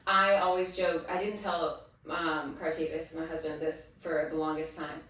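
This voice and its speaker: A woman's voice: deep voice, moderate pace. A woman in her thirties telling stories as a guest in a casual interview, acting out what others said. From Massachusetts. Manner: chatty storyteller, animated quoting of others. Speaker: guest, teacher. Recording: echoey room, distant mic.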